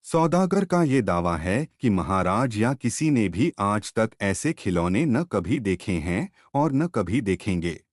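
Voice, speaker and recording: A man narrating a scripted folk tale as a storyteller, in a measured, expressive audiobook manner. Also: on the low side, dry recording, studio quality.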